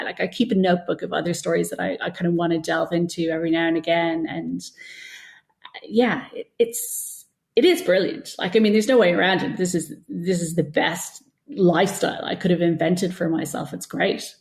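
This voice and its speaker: Middle-aged woman, low creaky voice. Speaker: interview guest, novelist. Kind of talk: casual interview conversation.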